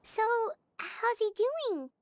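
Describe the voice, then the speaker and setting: A woman voicing a small, squeaky, childlike companion creature in a video game, earnest and curious. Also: sing-song, slow pace, full character voice. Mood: earnest, concerned. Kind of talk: English game dub acting.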